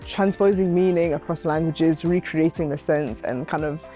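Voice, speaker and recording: Woman, interview outdoors, mellow, reflective, light music. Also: low voice, steady tone, normal speed. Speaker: workshop participant. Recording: music bed under voice.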